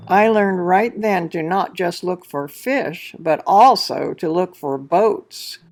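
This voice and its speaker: A woman voicing an excitable young boy pelican in an expressive read-aloud of a children's picture book. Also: mid-range voice. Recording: music behind the voice.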